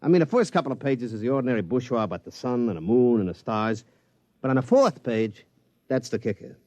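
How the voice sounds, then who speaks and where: A man's voice: mid-range voice, expressive, brisk, darkish tone, dry recording, natural voice. An actor playing a nervous, rambling male gangster underling who talks in folksy slang, in a 1950s radio drama.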